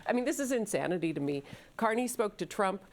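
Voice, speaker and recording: A woman's voice: medium pitch, lively intonation, quick pace. A woman giving emphatic, opinionated political commentary as a panel strategist.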